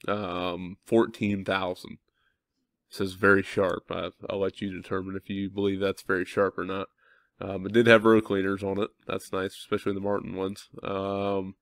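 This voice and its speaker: Young male farmer vlogger, slightly low voice, stuffy with a cold, relaxed chatty delivery, dry close sound. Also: dry recording. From Midwestern United States. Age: young adult. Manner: thinks aloud with many ums and self-corrections. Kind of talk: casual walkthrough talk to viewers.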